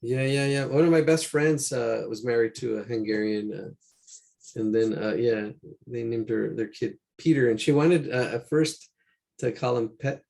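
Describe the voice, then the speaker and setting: Gravelly man, easygoing and agreeable. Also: mid-range voice, steady tone, normal speed, a little mellow. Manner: easygoing, affirming listener, frequent "yeah". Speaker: drawing class participant. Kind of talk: casual chat.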